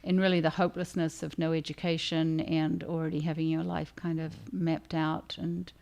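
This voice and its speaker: A woman's voice: sits low, flat delivery, moderate pace, vocal fry, darkish tone. A woman author hosting a casual discussion with readers, conversational and thinking aloud as she goes. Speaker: author and livestream host.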